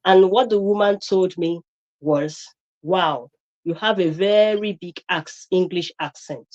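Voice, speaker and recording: A woman's voice: fairly low pitch, slow, darkish tone, highs cut off. A woman from Nigeria with a Nigerian accent, a guest on a live stream, talking emphatically with many fillers and restarts.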